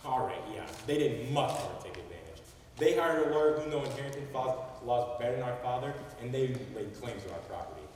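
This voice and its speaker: A man's voice: mid-range voice, animated, fairly quick, a little mellow, slight background noise, lightly acted. An actor playing the ghost of a wronged young man who rambles and loses his place as he narrates a stage play. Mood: brooding. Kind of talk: amateur stage acting. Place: stage in a large hall. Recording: echoey hall from far off.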